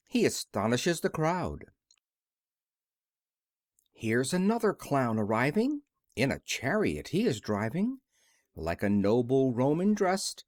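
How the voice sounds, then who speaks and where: A man's voice: mid-range voice, no echo, studio quality. A man narrating a rhyming children's storybook as an audiobook reader, with a theatrical, sing-song delivery.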